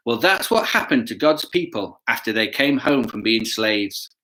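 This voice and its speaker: A man reading a children's story aloud with chatty asides, playful and expressive, often putting questions to his listeners. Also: medium pitch, normal speed, rough recording. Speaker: children's bedtime story reader.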